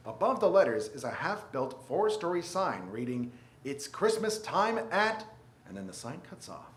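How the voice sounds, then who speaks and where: A man's voice: fairly high pitch, big pitch swings, normal speed, noisy background. A man reading scripted narration and sponsor spots as a radio drama announcer. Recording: roomy sound with loud music behind. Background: loud music.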